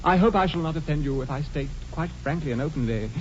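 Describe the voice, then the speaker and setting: Vintage muffled radio recording, actor as young upper-class English suitor, rapid, swooping melody, flippant, effusive, theatrical. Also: male voice, on the high side, animated, darkish tone, lightly acted. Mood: ardent. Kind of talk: 1940s radio comedy acting. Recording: dull, lightly hissy 1940s radio recording.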